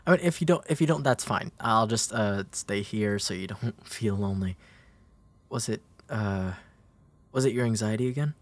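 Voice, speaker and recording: A young man playing a gentle, protective, playful big brother in a comfort roleplay, speaking in a hesitant, soothing way. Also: on the low side, lightly acted. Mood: gentle concern. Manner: hesitant, soothing, halting delivery. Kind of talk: intimate comfort roleplay audio.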